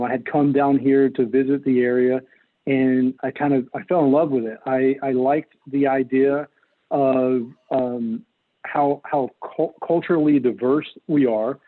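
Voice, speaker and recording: A middle-aged American man giving earnest, measured answers as a political candidate in an interview. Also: medium pitch, fairly even intonation, narrow, tinny audio. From North Carolina, United States. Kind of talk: interview answers, campaign talk.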